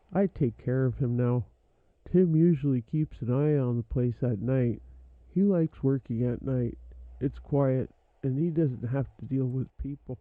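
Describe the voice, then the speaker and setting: A man's voice: mid-range voice, no echo, lightly acted. A man playing a young, earnest, plain-spoken scrapyard owner in an old time radio drama, in muffled phone-like sound.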